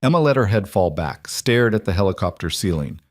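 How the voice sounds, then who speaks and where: A man narrating a scripted military drama story in a steady, measured way. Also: on the low side, no room sound, studio quality.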